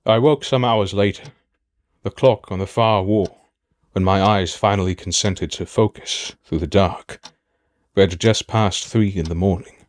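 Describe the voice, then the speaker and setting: A man's voice: sits low, measured pace. A man playing a proud, weary aging king and protective father in a fantasy audio drama, speaking with formal, stately diction. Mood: somber narrating.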